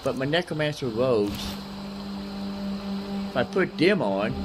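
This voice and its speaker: A man's voice: medium pitch, expressive, unhurried. A man giving casual live game commentary, thinking aloud in a folksy way and repeating his phrases. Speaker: gaming streamer.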